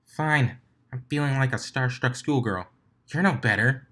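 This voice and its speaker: A man's voice: mid-range voice, a little slow, a bit bright, pitched above the performer's own voice. A man voicing a proud, flustered tomboyish mare, grumpy and defensive, in a story reading. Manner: muttering, defensive.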